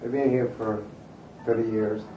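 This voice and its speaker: A man with a low, flat voice. He speaks steadily and sincerely, heard from afar in a roomy chamber with a noticeable hum behind him. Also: moderate pace, distant mic, muffled recording. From Mexico City. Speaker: award recipient. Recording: echoey chamber audio from afar.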